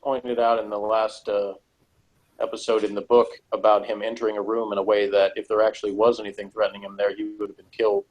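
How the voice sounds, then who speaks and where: Low-voiced man chatting casually. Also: flat delivery, fast, darkish tone, rough recording. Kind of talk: casual discussion of TV and personality types.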